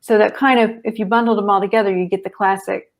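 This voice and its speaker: Woman guest, mid pitch, casual and joking, conversational podcast delivery. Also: fairly even intonation, fast. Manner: playful and joking, riffs on bits. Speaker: guest, psychic medium. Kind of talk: casual chat.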